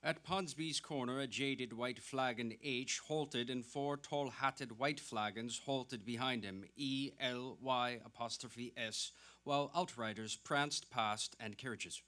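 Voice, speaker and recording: A man's voice: mid-range voice, slow, pushed and bright. A man performing literary narration as an actor in a dramatic reading.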